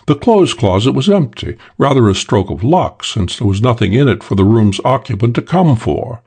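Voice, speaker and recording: A man's voice: mid-range voice, sing-song, normal speed, darkish tone, breathy edge. A man voicing a suave, boastful English con man in an audiobook, talking in a cool, self-assured drawl. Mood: tense recounting. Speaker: character in an audiobook. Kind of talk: audiobook character voice.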